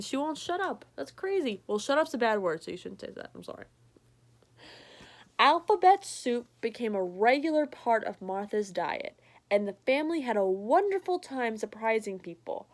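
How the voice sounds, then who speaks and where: A woman reading a picture book aloud to a child, with playful, chatty asides. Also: sits high. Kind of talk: read-aloud storytelling for a child. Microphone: recorded to a screen for remote viewing.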